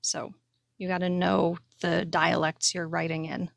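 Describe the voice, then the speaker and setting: A woman with a fairly low, gravelly voice chats as a podcast co-host. Her intonation stays fairly even as she thinks aloud informally, and the sound is close and dry. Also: unhurried, dry recording. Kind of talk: casual chat about writing.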